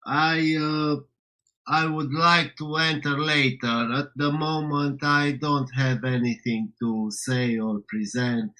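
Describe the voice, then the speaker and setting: Romanian-accented male caller, slow and bright-voiced, polite. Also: fairly high pitch, level tone, rough recording. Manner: polite, brief.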